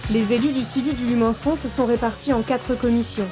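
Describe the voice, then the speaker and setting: A woman delivers scripted documentary narration in a fairly high register. Music plays clearly behind her voice throughout. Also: fairly even intonation, brisk.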